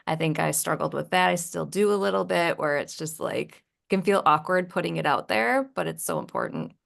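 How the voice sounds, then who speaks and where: Very creaky-voiced woman giving advice. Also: lowish voice, expressive, normal speed, fairly bright tone. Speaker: webinar guest speaker. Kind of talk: casual advice talk.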